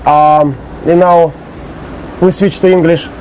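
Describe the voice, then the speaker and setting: A man with a Russian accent hosting a casual interview in halting, imperfect English with simple phrasing. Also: on the high side, level tone, a little mellow, a little hiss or hum, low-quality recording. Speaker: interviewer and show host. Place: recorded on location on an island.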